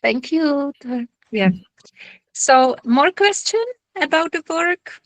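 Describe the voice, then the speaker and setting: A woman with a Czech accent speaks slowly and a little high, pausing often as she searches for words. Her tone is friendly and informal, like a therapist answering questions after an online lecture. The video-call sound is dry and close. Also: lively intonation, fairly bright tone, dry recording, poor audio. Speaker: somatic therapist giving a lecture.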